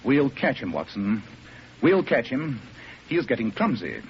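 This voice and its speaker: An actor playing a brisk, commanding English detective, precise and imperious, in 1940s radio drama style. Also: male voice, medium pitch, sing-song, husky, heavily acted. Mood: confident. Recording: dull, noisy 1940s radio recording.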